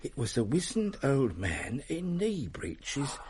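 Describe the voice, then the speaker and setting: An actor playing an excitable Victorian gentleman telling stories in a comic radio drama, husky and blustering. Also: medium pitch, slow, full character voice. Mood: hushed suspense. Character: jovial, excitable Victorian storyteller at a family party.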